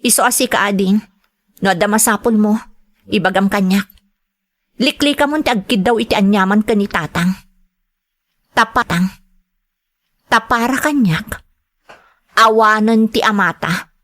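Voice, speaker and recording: A woman's voice: medium pitch, unhurried, long pauses, fairly bright tone, lightly acted. Resentful young woman, hurt elder sister acted. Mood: bitter, resentful. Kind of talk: radio drama acting.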